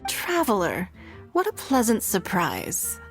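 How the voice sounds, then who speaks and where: A woman's voice: mid-range voice, wildly swooping pitch, slow, faint music behind, full character voice. An actress playing a refined, coolly teasing lady in a video game, with an elegant, composed manner. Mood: pleased.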